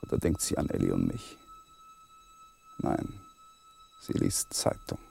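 An actor in a German crime radio play playing a troubled, coldly rational lawyer husband. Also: male voice, extremely high pitch, monotone, unhurried, gravelly, dark tone, slight background noise, full character voice. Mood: brooding, hushed. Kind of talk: German crime radio play acting, dialogue and inner monologue.

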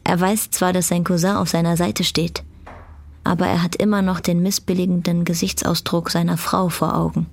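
A woman reading scripted literary narration for a radio drama, with a creaky voice. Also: lowish voice, flat delivery, moderate pace, a little mellow. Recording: guitar music behind the voice.

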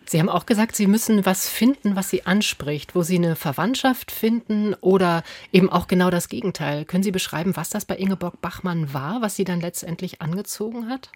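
A woman's voice: lowish voice, brisk, hardly any pauses, darkish tone, slightly rough, no echo. A woman hosting a radio interview, speaking in a prepared presenter's style.

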